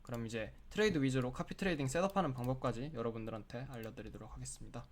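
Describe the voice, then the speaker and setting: A man with a cold and a sore throat who clears his throat often, talking through a screen-share tutorial in a chatty, explanatory way with lots of filler words. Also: medium pitch, rapid-fire, slight background noise. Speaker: crypto trading tutorial host.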